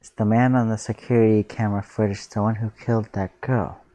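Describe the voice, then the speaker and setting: A man reading aloud casually as a reactor, with spontaneous, expressive exclamations between the lines. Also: on the low side, a little fast, dry recording.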